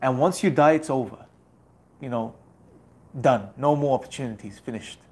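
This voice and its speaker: A man's voice: mid-range voice, normal speed, darkish tone, husky. A young man giving an earnest, exhorting religious talk that leans on rhetorical repetition. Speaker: religious speaker.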